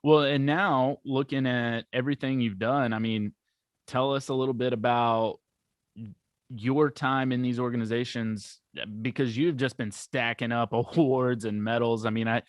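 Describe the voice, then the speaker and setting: Male podcast host, middle pitch, conversational and affirming. Dry close sound. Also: steady tone, normal speed, no room sound. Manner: friendly, chatty questions, many affirmations. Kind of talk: casual podcast interview.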